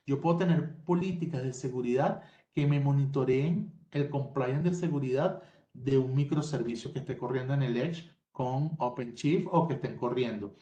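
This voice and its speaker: A man with a Venezuelan accent talks through a technical presentation, his voice mellow and warm. The sound is dull and roomy, like a video call from a home room. He explains things at length and often restarts a phrase to fix it. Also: medium pitch, moderate pace. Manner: explanatory, technical, self-correcting. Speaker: tech presenter. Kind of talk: webinar presentation. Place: home office in Colombia. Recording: dull, roomy webinar audio.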